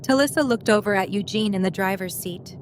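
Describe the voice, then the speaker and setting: A woman's voice: medium pitch, brisk, a little hiss or hum. A woman reading scripted narration as the narrator of an audio drama.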